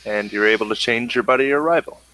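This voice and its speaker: A man's voice: medium pitch, quick pace, pushed and bright, rough recording. A man acting as game master, asking players questions in a tabletop game wrap-up. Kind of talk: running end-of-session tabletop game recap.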